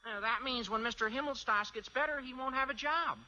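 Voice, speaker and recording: Teenage schoolboy, radio sitcom: high, sharp, squeaky, earnestly pompous, vintage lo-fi sound. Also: male voice, brisk, heavily acted. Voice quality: squeaky, adolescent. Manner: florid, mock-grandiose, earnest. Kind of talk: 1950s radio sitcom acting. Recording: dull, noisy old radio recording.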